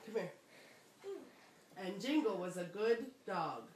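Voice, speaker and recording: A woman's voice: mid-range voice, expressive, slow pace, a little mellow. A woman talking casually and giving instructions, prompting a young reader line by line. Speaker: adult coaching a child reader. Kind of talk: casual family talk and prompting. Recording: echoey room, faint background noise.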